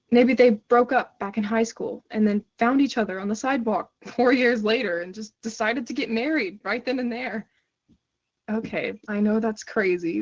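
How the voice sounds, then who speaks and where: A woman's voice: on the high side, a little mellow. An actress playing a wistful, chatty woman in a conversational stage monologue, musing reflectively as if confiding in a listener. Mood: curious, playful. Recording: dull lo-fi conference call audio.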